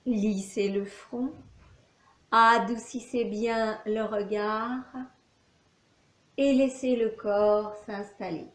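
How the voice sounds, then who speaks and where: A woman teaching yoga nidra, giving calm, measured relaxation instructions with long pauses. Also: medium pitch. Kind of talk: guided relaxation meditation. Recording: roomy echo, faint background noise.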